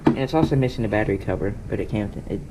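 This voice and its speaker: A man's voice: lowish voice, lively intonation, very fast. A man giving a casual, unscripted show-and-tell as a hobbyist, rambling offhandedly and often correcting himself. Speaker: hobbyist showing a radio collection. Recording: noisy handheld camera audio with clicks.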